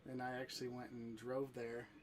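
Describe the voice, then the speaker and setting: Casual upbeat guy hosting a family livestream, middle pitch, asks questions. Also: monotone, moderate pace. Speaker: co-host of a family vlog livestream. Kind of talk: livestream interview.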